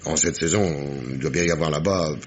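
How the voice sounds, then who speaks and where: An actor playing a sardonic, dogged police inspector in a French radio mystery play, with ironic, emphatic diction. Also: male voice, very deep voice, a little fast, a little hiss or hum. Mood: deferential, reporting.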